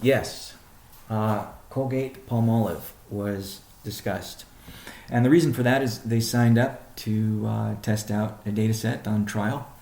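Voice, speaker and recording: A man speaking as a company chief executive on a conference call, answering questions in a measured, deliberate, halting way. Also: lowish voice, fairly even intonation, gravelly, darkish tone, echoey room.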